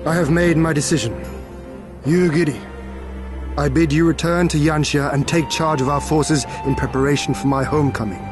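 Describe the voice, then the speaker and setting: An actor playing a wry, resolute young samurai lord in a fantasy game, with formal, archaic, courtly speech. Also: male voice, a little high, steady tone, moderate pace, music in the background, lightly acted. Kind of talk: fantasy game cutscene acting.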